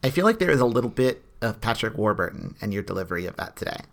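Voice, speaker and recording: A man's voice: lowish voice, sing-song, fast talker, creaky, fairly bright tone. A man co-hosting a podcast in casual banter, with a dry, wry sense of humor. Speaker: podcast co-host.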